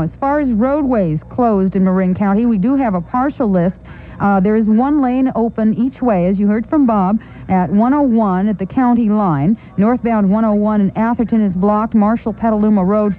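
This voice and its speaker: A woman with an American accent reading a scripted radio news report from a newsroom. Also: medium pitch. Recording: narrow lo-fi 1980s radio aircheck.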